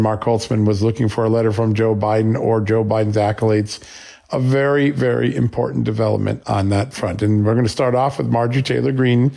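Gravelly man with a flu-scratchy voice. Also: medium pitch, warm tone. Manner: emphatic, builds points with short punchy questions. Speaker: host of a news commentary show. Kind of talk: political news commentary.

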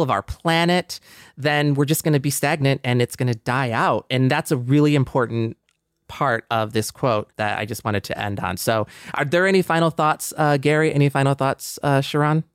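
A male podcast host with a clear, smooth voice, recorded close and dry. He talks in a casual, reflective way and sounds appreciative and agreeable, at a middling pitch. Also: no echo.

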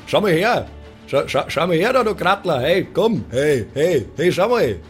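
A rowdy, drunken circus heckler, played by a man in a tabletop audio game. He jeers like a hooligan in repetitive slang, his voice pushed up higher than normal talk. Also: sing-song, moderate pace, soft background music. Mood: drunken taunting. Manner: slangy hooligan jeering, repeating himself.

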